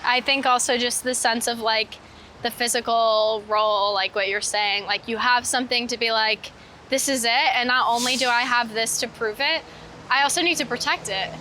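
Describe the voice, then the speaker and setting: Female, informal walk-and-talk interviewee, forward bright tone, recorded outdoors with faint traffic noise. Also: a little high, steady tone, normal speed, rough recording. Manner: informal, heavy use of "like". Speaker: interview guest, street photographer. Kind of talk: casual chat while walking. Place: outdoors on a city street. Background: street ambience and vehicles.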